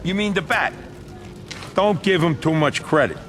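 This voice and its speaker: A man's voice: fairly high pitch, moderate pace, noisy background, lightly acted. An actor playing a skeptical, wry male officer in a video game scene. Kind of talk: cinematic video game acting.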